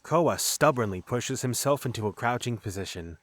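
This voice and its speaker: A man narrates a scripted fantasy audio drama. His voice sits at an average pitch, and the recording is dry, with very little room sound. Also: expressive, normal speed, fairly bright tone, dry recording.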